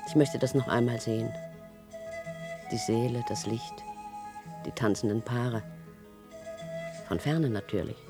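An actress playing an anxious, dutiful cellar worker in a science-fiction radio play, pleading and moving between fervent and hushed delivery. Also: female voice, a bass voice, measured pace, pauses often, over music. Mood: subdued, wistful. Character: anxious, dutiful female cellar worker, clinging to comforting beliefs.

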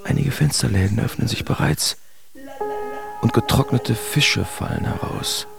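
A haunted, wry artist telling his absurd dream for a radio drama. His voice sits on the low side with a clearly creaky grain. The storytelling is expressive and theatrical, with music running behind it. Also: heavily acted. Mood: disgusted wonder. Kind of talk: radio drama first-person narration.

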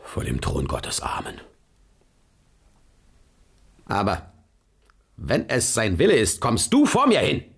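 A man's voice: on the low side, huge pitch swings, slow pace, long pauses, a bit bright, breathy edge, exaggerated voice. An actor playing a tyrannical, choleric middle-aged Prussian king in a German radio play, with an imperious, blustering manner. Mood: scornful, threatening.

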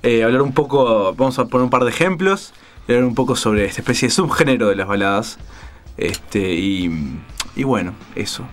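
A man's voice: medium pitch, animated, normal speed. A man with a Rioplatense Spanish accent co-hosting a radio show in casual banter, with dry, joking interjections. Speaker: radio co-host.

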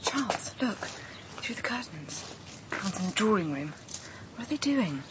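An actress playing an intense, determined young woman in love in a radio drama, earnest and intimate, sometimes hushed. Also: medium pitch, very animated, normal speed, heavy background noise, lightly acted. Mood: intimate, wary.

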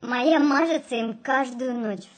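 An actress playing a naive, curious unborn baby girl in a radio play, gentle, childlike and hesitant. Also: high-pitched, unhurried. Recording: studio radio play with music behind.

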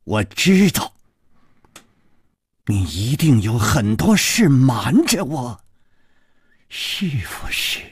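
A man's voice: medium pitch, sing-song, drawn-out words, pauses often, breathy edge, dry recording, clean studio sound, pitched below the performer's own voice, full character voice. A man playing a jealous, volatile, suspicious lover in a martial-arts story, with probing, demanding speech. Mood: suspicious, stern.

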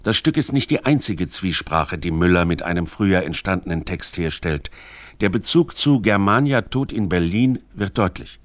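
A man's voice: low-pitched, no room sound. A man reading scripted narration for a literary radio documentary.

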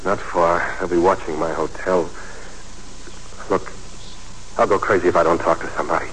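Haunted male amnesiac ex-soldier: low, guarded, tense, vintage radio noir with light noise. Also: fairly even intonation, normal speed, fairly bright tone. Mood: anxious, guarded. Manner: terse, self-deprecating, tense confessions. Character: troubled, wary male amnesiac war veteran hunting his past. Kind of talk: 1940s radio drama acting. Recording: noisy 1940s radio recording.

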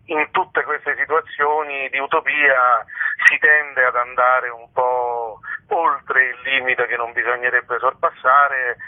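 Hesitant man on a phone line. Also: medium pitch. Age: 50s. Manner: hesitant, discursive, with frequent pauses. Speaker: interview guest, art exhibition presenter. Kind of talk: spontaneous phone interview. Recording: narrow tinny phone line on radio.

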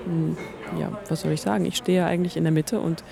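Creaky, hesitant mid-pitched speaker. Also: steady tone, quick pace, over loud music. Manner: hesitant, thinking aloud. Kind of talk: casual, halting reflection.

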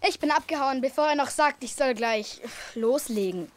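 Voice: sits high, normal speed, lightly acted. An actor playing a scheming, irritable middle-school boy in a German audio drama, with a sarcastic, bossy manner. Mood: grumbling.

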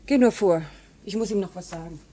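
A woman's voice: medium pitch, a little fast, warm tone, slightly rough, natural voice. Radio drama: actress as earnest, reproachful young woman, restrained delivery, middle register. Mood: subdued, disapproving. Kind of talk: naturalistic German radio play acting.

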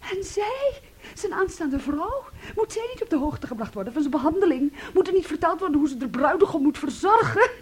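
An actress in a Dutch radio play playing a bitter, possessive, jealous sister with an intense, accusing manner. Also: female voice, very high voice, big pitch swings, fairly quick. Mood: venomous. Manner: intense, accusing, shifting between whispers and outbursts. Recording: noisy old radio play recording.

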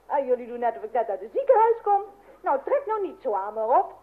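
An actress playing an excitable, worn-out young daughter with gushing exclamations, in an old-fashioned radio play. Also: female voice, high voice, fast talker. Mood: exasperated, pleading. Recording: tinny band-limited old radio recording.

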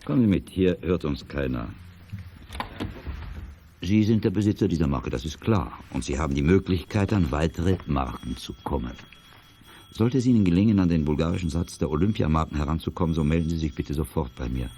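Middle-aged company director, radio play: low mellow voice, formal, polite, earnest and discreet. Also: male voice, expressive, brisk, slight background noise. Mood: earnest, confidential. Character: courteous, businesslike male auction house director, discreet and earnest. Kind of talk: 1980s children's audio drama acting.

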